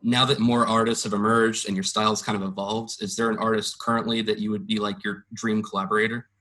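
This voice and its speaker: Bright-voiced young male radio interviewer. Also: lowish voice, little pitch movement, fairly quick. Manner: hesitant, many uhs and restarts. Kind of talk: casual interview questions.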